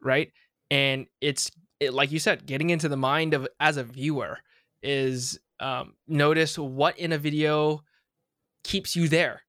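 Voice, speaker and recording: A man's voice: on the high side, steady tone, unhurried, fairly bright tone, dry recording, clean studio sound. A young man hosting a podcast, talking casually with lots of fillers and restarts. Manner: rambling, many fillers and restarts. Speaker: podcast host.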